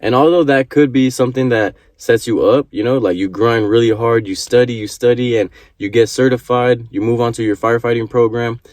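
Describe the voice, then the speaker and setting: A man's voice: medium pitch, fairly even intonation, fairly quick, hardly any pauses, a little mellow, no echo, studio quality. A man in his early twenties giving an earnest motivational talk to camera, repeating his points and swearing casually. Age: 20s. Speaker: vlogger.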